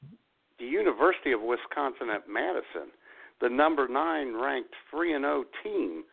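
Gravelly, wry man on a phone-like line. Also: medium pitch, lively intonation, moderate pace. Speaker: co-host of a comedy podcast. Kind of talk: casual banter. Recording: tinny phone-like internet radio audio.

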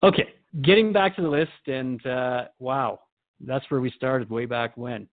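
A man's voice: medium pitch, measured pace, narrow, tinny audio, close to an everyday voice. A man playing a curious, respectful radio host in a radio drama, interviewing in an inquisitive, conversational way. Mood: curious, earnest.

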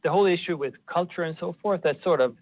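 A man with a Swedish accent, a professor guest, answering in a measured way and often repeating words. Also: on the high side, no echo. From Sweden. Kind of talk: conversational interview. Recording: tinny phone line.

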